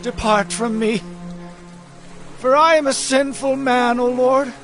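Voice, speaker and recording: Casting: male actor as humble beggar before his lord, extremely high pitch, slow imploring delivery. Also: fairly even intonation, fairly bright tone, faint music behind, full character voice. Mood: ashamed pleading. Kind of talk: dramatized scripture audio acting.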